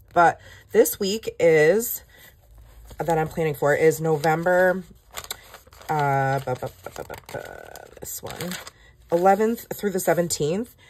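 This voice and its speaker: A woman with a low, deep-pitched speaking voice that sounds a little scratchy. She chats along in a rambling, self-deprecating way while planning at her desk at home. The sound is close and plain, from a camera above her desk. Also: a little mellow. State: scratchy voice from illness. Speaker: planner vlogger. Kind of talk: casual chat while planning. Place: home craft room, desk. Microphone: overhead camera, hands only in frame.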